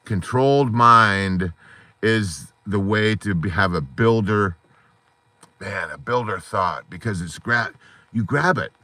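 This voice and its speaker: A man with a lowish, hoarse voice and a forceful, bright edge gives a fervent pep talk packed with metaphors. He speaks into a phone inside a parked car at night, and the sound is dry and close. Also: slow, no room sound. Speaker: support group host. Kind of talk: motivational live stream talk.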